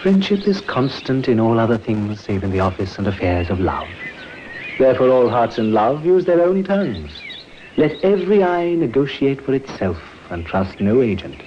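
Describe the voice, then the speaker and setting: An actor playing an affectionate, bookish antique-dealer husband in a vintage radio drama, genteel and declamatory. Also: male voice, medium pitch, big pitch swings, moderate pace, close to an everyday voice. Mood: lyrical. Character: attentive, bookish married male antique dealer, smoothly affectionate. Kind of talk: 1950s radio drama acting. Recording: dull, noisy vintage radio recording.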